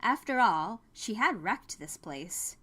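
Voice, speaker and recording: A woman reading scripted fiction as an expressive audiobook narrator. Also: mid-range voice, slow, husky. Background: music.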